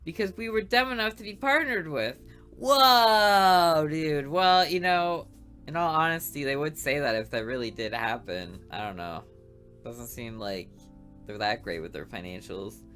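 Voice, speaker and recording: A woman's voice: sits low, a little slow, a bit bright, faint music behind. A woman hosting a gameplay video, reading a visual novel aloud and breaking off into joking, off-the-cuff asides.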